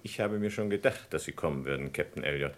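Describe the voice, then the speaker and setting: Older grieving father, radio play, deep, weary, restrained, formal, curt. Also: fast. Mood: curt, subdued. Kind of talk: German crime radio play acting.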